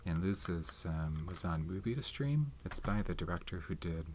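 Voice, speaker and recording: A man with a low-pitched voice talks softly in a casual ASMR style. He rambles along hesitantly and without hurry. There is some background noise, with tapping and clicking from things being handled. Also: lively intonation, moderate pace. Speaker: video host showing movie collection. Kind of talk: soft-spoken ASMR casual movie chat. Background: tapping and handling of movie cases.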